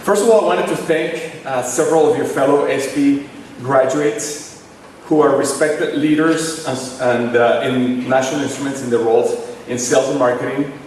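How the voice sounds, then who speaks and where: A man with a Venezuelan accent, likely in his forties, giving a formal commencement address in measured, phrase-by-phrase delivery. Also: medium pitch, a little mellow, slight background noise. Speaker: commencement speaker. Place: large ceremony hall. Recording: echoey hall recorded from far off.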